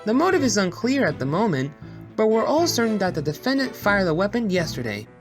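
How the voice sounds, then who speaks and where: A woman's voice: mid-range voice, sing-song, brisk, natural voice. A woman voicing a timid, eager male cheetah police officer in an audio drama, deferential and easily flustered. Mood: dutiful. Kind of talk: courtroom audio drama acting. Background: music.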